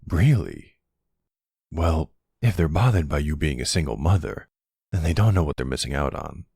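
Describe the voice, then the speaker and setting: A middle-aged mafia boss, played by a man, speaks in an extremely deep, creaky voice with lively swings of intonation. He is suave and dangerous, mixing tough slang with courteous, flirtatious endearments. The delivery is intimate ASMR roleplay. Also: normal speed, dry recording, studio quality, exaggerated voice. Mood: charming, flirtatious. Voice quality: gravelly. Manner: smooth-talking, folksy tough-guy drawl of endearments and slang.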